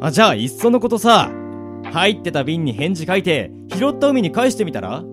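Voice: mid-range voice, sing-song, brisk, lightly acted. An actor playing a breezy, teasing young male college friend in casual, boyish radio drama dialogue. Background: guitar music.

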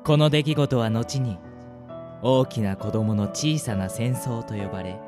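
Guy narrating a silly fantasy radio story, calm voice, music under it. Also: medium pitch, measured pace.

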